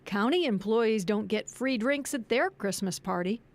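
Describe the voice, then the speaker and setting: A woman's voice: a little high, normal speed. A woman with an American accent delivering a scripted TV news report as an investigative reporter, with pointed questions.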